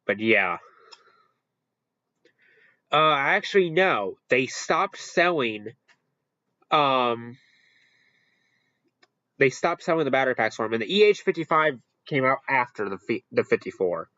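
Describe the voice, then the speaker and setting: A man hosting a casual, unscripted ranking commentary, halting and rambling as he corrects himself along the way. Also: medium pitch, sing-song, pushed and bright, no room sound, highs cut off. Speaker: video host ranking products.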